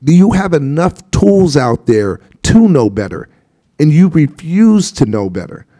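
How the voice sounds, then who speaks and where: A man on a discussion panel speaks with a warm, mellow voice. He thinks aloud, hesitating often and circling back before landing his points. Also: a little high, big pitch swings, measured pace. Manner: reflective, hesitant, many fillers.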